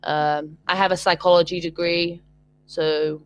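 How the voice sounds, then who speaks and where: Young British woman, online tutor, slightly low pitch, hesitant casual delivery over a video call. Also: little pitch movement, unhurried, no echo. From Britain. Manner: hesitant, many ums and restarts.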